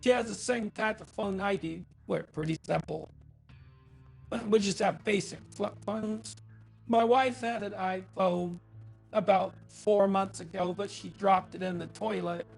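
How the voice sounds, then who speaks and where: A man voicing a stubborn, thrifty older man through a voice changer, raspy and hesitant, in an improvised phone conversation. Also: steady tone, a little slow, a little mellow, faint music behind, full character voice. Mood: hesitant, plain. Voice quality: raspy, creaky, high-pitched.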